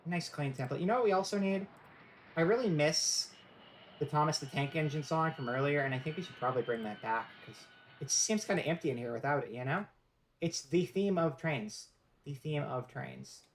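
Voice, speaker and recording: A woman's voice: sits low, lively intonation, moderate pace, a little hiss or hum, poor audio. A woman streamer giving a casual live-stream presentation, rambling and joking in a playfully mock-serious way.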